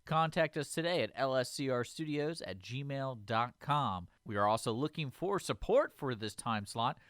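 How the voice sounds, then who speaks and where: A man announcing the station's radio hour in a steady, plain announcer read. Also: mid-range voice, moderate pace, no echo, studio quality.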